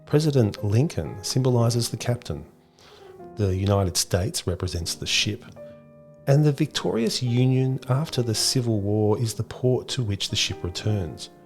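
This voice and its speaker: A man's voice: mid-range voice, expressive, a little slow, faint music behind. A man hosting a podcast, reading scripted educational narration. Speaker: podcast host.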